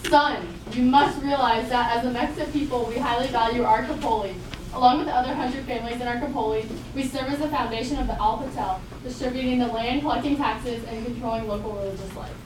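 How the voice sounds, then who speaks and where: A woman's voice: fairly high pitch, fairly even intonation, quick pace, close to an everyday voice. A woman playing a bossy elder of an ancient kingdom in a scripted puppet show. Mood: explaining. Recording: very echoey room, distant mic, clear noise.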